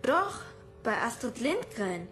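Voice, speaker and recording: A woman's voice: fairly high pitch, sing-song, slow pace, fairly bright tone, exaggerated voice. A woman playing a small, dreamy orphan boy in a German audio drama, earnest and anxious. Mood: hopeful, stubborn. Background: music.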